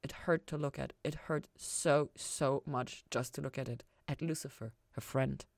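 A woman's voice: rumbling low, steady tone, normal speed, creaky, darkish tone, no echo, clean studio sound. A woman reading scripted fiction aloud as a narrator. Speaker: fan fiction reader, podcast host.

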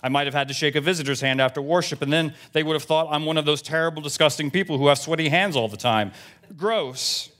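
A man's voice: a little high, fast, a bit bright, natural voice. A man voicing a nosy, self-righteous Southern church lady in her seventies, indignant and blunt, in a comic reading of emails. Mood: chatty, prim.

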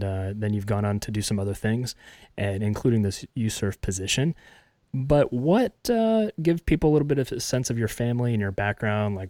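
A man's voice: on the low side, darkish tone, dry recording. A man hosting a podcast interview, talking in a casual, hesitant way with many fillers. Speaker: podcast host.

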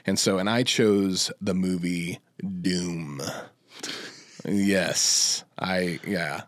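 A man's voice: sits low, steady tone, very slow, dry recording, studio quality. A man co-hosting a podcast, chatting casually and enthusiastically about games and films. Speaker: podcast co-host. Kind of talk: casual chat about video game movies.

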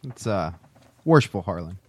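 A man's voice: low voice, very animated, normal speed, dry recording. A man in his twenties co-hosting a podcast, chatting casually in a joking, playful manner. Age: 20s. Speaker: podcast co-host. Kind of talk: casual chat.

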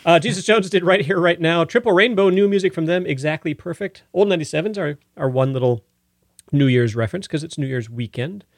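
A man's voice: fairly high pitch, a little fast, no echo, clean studio sound. A man hosting a radio music show, chatting casually as he announces songs.

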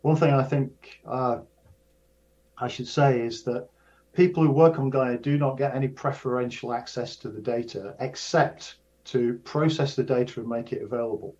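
An older man, a professor of astrophysics, explaining research in a measured way, often restarting and repeating his words. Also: medium pitch, fairly even intonation, a little mellow. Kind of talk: casual explanatory interview. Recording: dull lo-fi sound.

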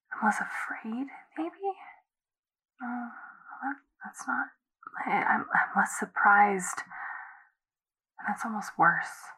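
A young woman played by an actress confides in a creaky, bright half-whisper with a husky edge. She is hesitant, introspective and uneasy, as if recording a private diary in an audio drama. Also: medium pitch, full character voice, poor audio. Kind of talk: hushed found-footage audio diary.

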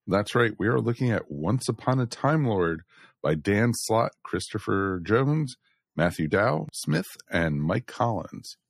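An American man chats as a podcast host. His voice sits on the low side and the sound is very dry. The delivery is casual and conversational. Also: expressive, a little slow, vocal fry, no room sound. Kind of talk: casual, chatty podcast hosting.

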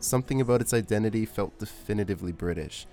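A man hosting a podcast, reading scripted music-documentary narration in a measured, essay-like way. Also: on the low side, mellow. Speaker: podcast host. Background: music under the voice.

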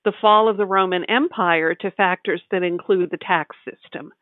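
A woman with a slightly low voice explains things as an interview guest. She is articulate and measured, and the recording is narrow and phone-like, with very little room sound. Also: fairly even intonation, dry recording. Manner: articulate, measured storytelling in full sentences. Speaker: tax advisor, interview guest. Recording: tinny phone-like line, dry.

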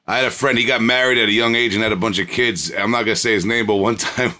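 Laid-back low-voiced swearing man. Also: steady tone, quick pace, bright, forceful tone. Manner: laid-back, swears casually, drawls while thinking. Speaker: podcast co-host. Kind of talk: casual comedy chat.

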